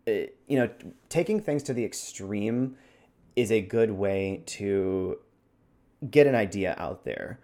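A man with a fairly low voice speaks as a podcast co-host. He explains technique in a conversational, instructive style. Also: a little mellow. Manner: demonstrates points with his own voice. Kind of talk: explanatory podcast talk on voice acting.

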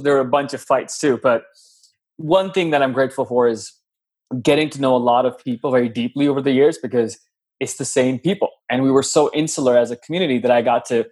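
A man's voice: medium pitch, steady tone, darkish tone. A man from Pakistan, a podcast guest, talking about his life in a friendly, reflective way over a video call. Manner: friendly, reflective, drawn-out phrasing. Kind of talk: casual podcast interview.

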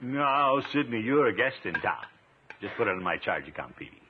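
A man's voice: lowish voice, big pitch swings, normal speed. Blustery, swooping radio-comedy man. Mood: hearty, chummy. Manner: chuckling, exclamatory, theatrical. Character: genial, blustery middle-aged man, pompous and easily flustered. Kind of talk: 1950s radio situation comedy acting. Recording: scratchy, tinny 1950s radio recording.

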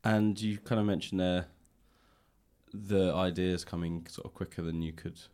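A man hosting a conversational podcast and interviewing a guest, softening his phrasing as he goes. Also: deep voice, fairly even intonation, normal speed, no room sound.

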